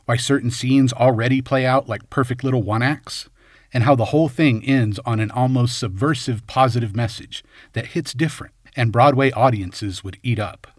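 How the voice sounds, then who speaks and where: A man's voice: mid-range voice, normal speed, no echo, studio quality. A man reading scripted film commentary as host, in a wry, tongue-in-cheek way. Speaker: video essay host.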